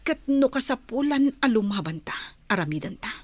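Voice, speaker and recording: A woman's voice: a little high, expressive, moderate pace, no room sound. A woman playing an urgent, protective rebel fighter in a radio drama, commanding a comrade she is fleeing with. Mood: hushed, determined.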